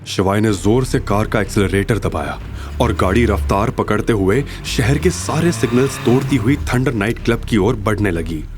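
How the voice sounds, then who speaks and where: A man narrating a scripted audio drama in an expressive, suspenseful way. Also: on the low side. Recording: music bed behind.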